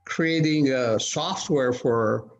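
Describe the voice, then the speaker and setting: A man from Ethiopia speaking on a video-call panel, measured and halting with frequent restarts. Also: mid-range voice. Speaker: conference panelist. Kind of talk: casual panel discussion. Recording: dull lo-fi call audio.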